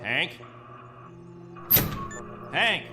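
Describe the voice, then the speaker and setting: A stern radio drama captain with a bright, forceful voice. His delivery is lively, commanding and measured, with a dry wit, over faint music. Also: fairly high pitch, heavily acted. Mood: alert. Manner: commanding, measured, dryly wry. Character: stern, watchful male captain of an isolated settlement.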